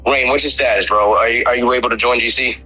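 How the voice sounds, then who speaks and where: Loud fast-talking man taking charge. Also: mid-range voice, fairly even intonation, faint music behind, rough recording. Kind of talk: casual livestream chat.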